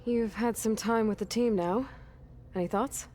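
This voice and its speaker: A woman's voice: a little high, unhurried, mellow, soft background music, lightly acted. An actress playing an earnest young woman warrior in a video game, direct and plain-spoken. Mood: matter-of-fact. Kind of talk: naturalistic video game acting.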